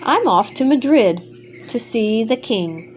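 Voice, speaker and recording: A woman's voice: a little high, expressive, takes it slowly, a little mellow, soft background music. An older woman doing a bratty, boastful half-chick. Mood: proud. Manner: haughty, dismissive. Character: boastful stubborn young male half-chick.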